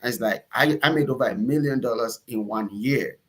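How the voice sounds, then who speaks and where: A man's voice: mid-range voice, brisk. A man from Nigeria with a Nigerian accent, speaking as an interview guest in an emphatic, conversational storytelling way. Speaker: interview guest, entrepreneur and pastor.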